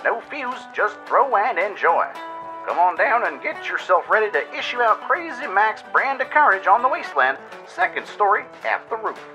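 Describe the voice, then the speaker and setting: High, manic radio arms-dealer pitchman. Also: moderate pace, over music, rough recording. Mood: manic, hawking. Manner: loud, excitable salesman patter. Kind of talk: over-the-top radio commercial.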